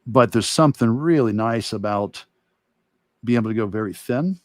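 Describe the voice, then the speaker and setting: A man who teaches art, talking casually and thinking aloud in a halting way during a livestream demo. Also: lowish voice, no room sound, studio quality. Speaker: art instructor and illustrator.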